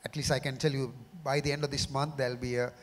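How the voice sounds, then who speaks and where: Hoarse middle-aged Sri man on a panel. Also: medium pitch, flat delivery. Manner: measured, often repeats words as he restarts. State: slightly hoarse from a cough. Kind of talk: panel discussion. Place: large conference hall. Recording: reverberant conference hall.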